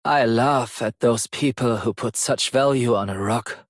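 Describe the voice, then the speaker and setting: Guy doing wry philosopher game character, mid voice, slow and measured. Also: medium pitch, no echo, studio quality, natural voice. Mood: wry, earnest. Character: sardonic, philosophical man, dryly respectful game character.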